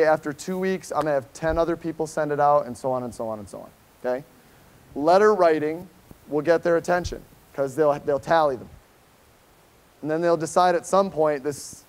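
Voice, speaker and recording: A middle-aged American man, a professor giving an informal keynote lecture, conversational and emphatic, often checking in with a quick "okay?". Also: a little high, a little mellow. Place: lecture hall, speaking to a seated audience.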